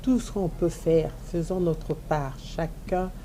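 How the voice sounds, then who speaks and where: A woman's voice: low voice, takes it slowly, mellow, slight background noise. A woman politician, making an emphatic rallying appeal in a TV interview and repeating her key phrases. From DR Congo. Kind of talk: televised interview statement.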